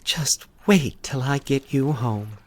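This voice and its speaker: A man's voice: medium pitch, slow pace, voice pushed up. A man voicing a sinister, coy woman who coos and hisses with teasing menace in a horror story. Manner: cooing, hissing, teasing menace. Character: predatory woman, coy, sinister, with monstrous sharp teeth.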